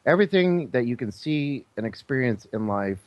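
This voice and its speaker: A man's voice: medium pitch, animated, normal speed, lo-fi sound. A man talking casually as a show guest, explaining things in a rambling, earnest, insistent way.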